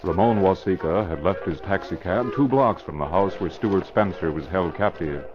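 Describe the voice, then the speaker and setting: Male narrator, low pitch, scripted dramatic delivery, dull old radio recording, musical backing. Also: moderate pace, music in the background.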